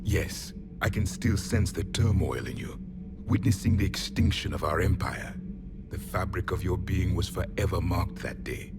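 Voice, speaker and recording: Loud music plays under a very low male voice. It is a grim, imperious alien soldier from a video game, making solemn and blunt pronouncements with the pride of a fallen empire's last survivor. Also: lively intonation, normal speed. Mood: measured, intense. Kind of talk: dramatic video game acting. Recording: dramatic music behind the voice.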